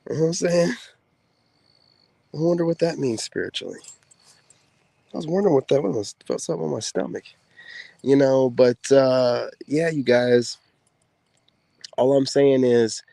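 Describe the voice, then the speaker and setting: A soft-spoken man, a former soldier, talks casually to the camera to his women viewers in a relaxed, drawling way with many fillers. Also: medium pitch, creaky, no room sound. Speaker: content creator speaking to women viewers. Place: outdoors.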